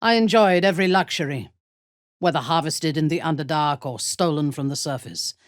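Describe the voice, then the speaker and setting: A woman playing a haughty, ruthless dark elf warrior in a fantasy video game, with an imperious, deliberate, formal delivery. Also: sits low, no echo, clean studio sound, close to an everyday voice. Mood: cold, imperious.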